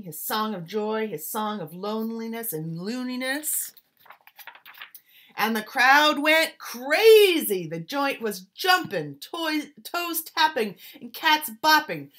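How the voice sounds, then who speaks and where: Expressive woman reading a story aloud. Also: a little high, slow. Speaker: teacher reading a picture book aloud.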